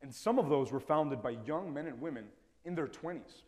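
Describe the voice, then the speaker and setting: A man's voice: on the high side, fairly quick, darkish tone. Young guy from ecuador, native spanish speaker, earnest storytelling, echoey hall. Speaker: TEDx speaker. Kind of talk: personal storytelling talk. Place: stage in a lecture hall. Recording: reverberant hall sound.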